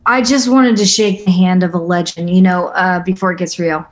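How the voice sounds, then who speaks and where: A woman's voice: fairly low pitch, fairly even intonation, moderate pace, close to an everyday voice. Woman playing a gushing young female fighter. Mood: admiring. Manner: gushing, casual, a little hesitant. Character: young wiry female fighter, awestruck yet sizing up rivals. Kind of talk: script table read.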